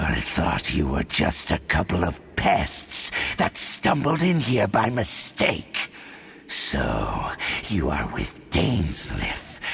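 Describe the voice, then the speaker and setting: Deep growling game villain, gravelly, slow, wildly swooping delivery, music behind. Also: male voice, fairly bright tone, exaggerated voice. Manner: slow, ominous, theatrical pronouncements. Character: menacing male Abyss Herald, cold and threatening. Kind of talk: video game voice acting.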